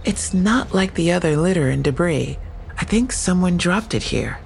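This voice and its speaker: An actress playing a plain-spoken, persistent police investigator in an audio drama, matter-of-fact and inquisitive. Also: female voice, on the low side, lively intonation, normal speed, vocal fry, darkish tone, a little hiss or hum. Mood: insistent.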